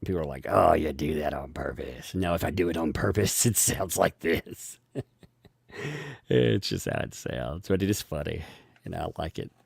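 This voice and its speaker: A man hosting a casual live stream, thanking viewers for donations and rambling with frequent restarts and chuckles; he has a gravelly voice. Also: rumbling low, normal speed, dry recording, clean studio sound.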